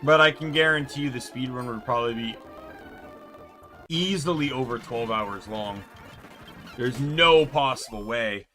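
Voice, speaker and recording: A man's voice: medium pitch, measured pace, long pauses, sharp tone, soft background music. A man giving casual, rambling, explanatory commentary while streaming a video game.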